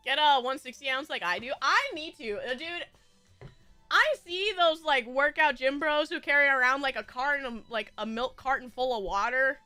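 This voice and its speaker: A woman's voice: high voice, a little slow, sharp tone, no echo, faint music behind. A woman streamer with a stuffy nose, chatting casually with her viewers in a bubbly, rambling way with frequent giggles.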